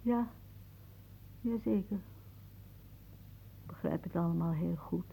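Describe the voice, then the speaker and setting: An actress playing a wry older aunt in a radio play, musing dramatically and haunted by death. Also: female voice, lowish voice, slow pace, dark tone, heavily acted. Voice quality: husky. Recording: muffled, phone-like old radio recording.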